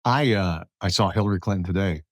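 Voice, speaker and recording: An American man hosting a podcast, talking politics casually in a halting way, repeating words as he goes. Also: low voice, no echo, studio quality. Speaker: podcast host.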